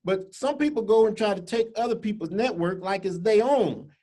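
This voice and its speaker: A man's voice: sits high, moderate pace, mellow. Man, co-host, joking, folksy phrasing, video call audio. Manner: joking, folksy Southern-style dialect phrasing. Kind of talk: casual banter and slide reading.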